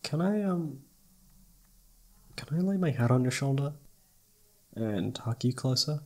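A man playing a self-doubting, lovestruck best friend in an intimate ASMR roleplay, speaking softly and haltingly with tender hesitation. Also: mid-range voice, lively intonation. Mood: tender, shy. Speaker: amateur voice actor.

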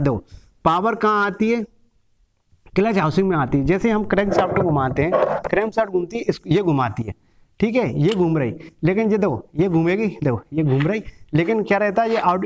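High-voiced man teaching engine repair. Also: a little hiss or hum. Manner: explains step by step while handling engine parts, repeats "ठीक है" and "देखो". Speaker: bike mechanic trainer. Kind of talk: hands-on workshop lesson. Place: small room with a table of engine parts. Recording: dull lo-fi sound.

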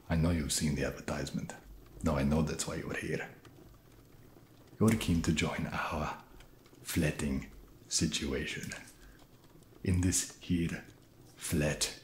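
A very low, slow-talking vampire acted by a man with a mock foreign vampire accent. He is soft-spoken, halting and awkwardly friendly, desperate to seem trendy in an ASMR comedy. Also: roomy sound, a little hiss or hum, heavily acted. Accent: put-on foreign vampire accent.

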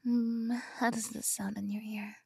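A woman's voice: fairly high pitch, flat delivery, measured pace, creaky, darkish tone, breathy edge, no room sound. A young woman whispering close to the mic in an ASMR roleplay, giggly and affectionate. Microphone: close binaural mic.

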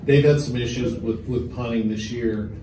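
A man, a reporter, asking halting questions at a press conference and restarting his sentences as he goes. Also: mid-range voice, fairly even intonation. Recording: distant, roomy, with room noise.

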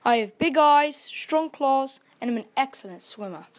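A woman's voice: sits high, expressive, moderate pace, voice pushed up. A woman voicing a cheerful, self-assured old male crab in a children's storybook, plain-spoken and reassuring. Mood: proud, content.

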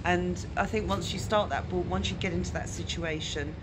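A woman's voice: on the low side, fairly even intonation, moderate pace. A middle-aged woman who volunteers with the homeless, explaining at length in an earnest, casual way with many fillers, filmed close up in a sunny glass shelter. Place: glass-walled seaside shelter, sunny day. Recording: noisy outdoor ambience.

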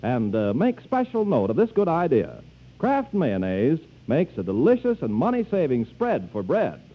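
A man's voice: medium pitch, wildly swooping pitch, normal speed. A man working as a radio announcer, reading a scripted 1940s commercial on an old muffled radio recording.

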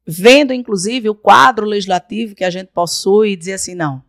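A woman in her forties from Paraíba, Brazil, a guest on a studio podcast, talking emphatically into a desk boom mic and repeating phrases for effect. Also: a little fast, fairly bright tone, no room sound. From Brazil, raised in Paraíba. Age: 40s. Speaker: guest, council candidate. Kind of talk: podcast interview. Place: small podcast studio with blue-lit backdrop. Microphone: desk mic on boom arm.

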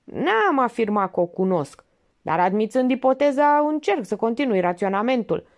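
A woman's voice: fairly high pitch, sing-song, pitched above the performer's own voice. A woman voicing an evasive, easily offended male bit-part actor who answers in curt, defensive replies.

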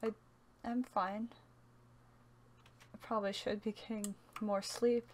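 A weary, reserved female survivor, acted by a woman in a game roleplay. She speaks at medium pitch in short, hesitant phrases, with faint noise and key clicks behind. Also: flat delivery, slow pace, lightly acted. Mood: weary, subdued. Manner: subdued, terse, hesitant. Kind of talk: amateur roleplay acting.

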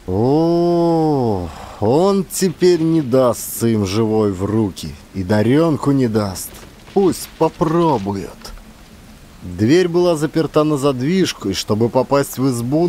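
A man's voice: medium pitch, warm tone, slight background noise. A man narrating an audiobook as an actor, with theatrical, emphatic storytelling. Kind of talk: dramatic scripted narration.

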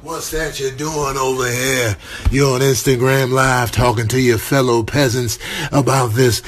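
A man's voice: mid-range voice, steady tone, a little slow, a little hiss or hum, natural voice. A man voicing a haughty, self-important pet with a pompous drawl in a comic animal voice-over.

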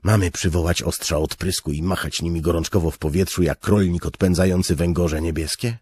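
A man's voice: low-pitched, steady tone, fairly quick, darkish tone, husky, voice pushed up. A man voicing a brash young noble swordsman in an audiobook, urgent and wry.

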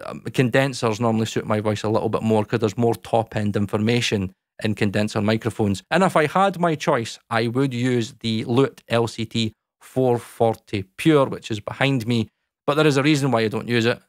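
A Scottish man in his thirties with a dark, boomy voice, chatting casually to camera about recording from his small home studio, talking close into a dynamic mic. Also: dry recording. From Scotland. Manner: chatty, lots of fillers, talks with his hands. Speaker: YouTube audio educator. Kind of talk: casual explainer talk to camera. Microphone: large dynamic mic close in front.

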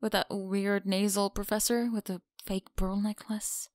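A woman's voice: medium pitch, level tone, unhurried, darkish tone, no room sound, studio quality, lightly acted. A young woman with an American accent playing a mean, teasing college bully in an ASMR audio roleplay, mocking and slangy. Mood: bossy, dismissive. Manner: mocking, sighing, casual slangy talk. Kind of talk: ASMR audio roleplay, one-sided dialogue.

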